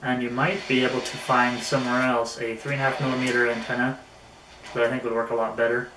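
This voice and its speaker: A man giving a casual hands-on product review, speaking in a halting way with pauses mid-phrase. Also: medium pitch, flat delivery. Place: indoors in an echoey room. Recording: roomy echo, faint background noise.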